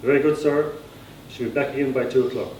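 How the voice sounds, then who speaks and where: A man's voice: medium pitch, fairly even intonation, fairly quick, a little mellow, natural voice. A man with an Irish accent voicing a polite, reserved young farmer in a story, courteous and brief. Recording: echoey room, distant mic, faint noise.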